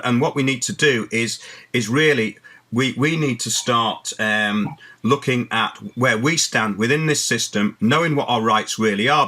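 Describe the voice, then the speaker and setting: A man's voice: medium pitch, bright, forceful tone. A man hosting a show, giving opinionated commentary and repeating points emphatically. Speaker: show host. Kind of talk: opinionated discussion.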